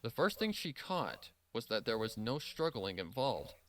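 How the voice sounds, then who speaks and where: A man's voice: medium pitch, very animated, unhurried, a bit bright. A man reading scripted fan fiction as the narrator.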